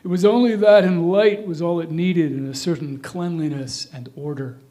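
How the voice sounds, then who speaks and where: A male narrator with a fairly high voice. He reads scripted literary prose as part of a reader's theatre performance. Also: fairly even intonation, moderate pace, warm tone.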